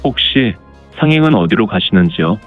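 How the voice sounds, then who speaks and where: A courteous young wandering swordsman, acted by a man speaks in a lowish voice, formal and composed. It is martial arts audio drama, with dulled sound and music behind. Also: big pitch swings, normal speed, narrow, tinny audio. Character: polite handsome young wandering male mercenary swordsman. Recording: band-limited, music underneath.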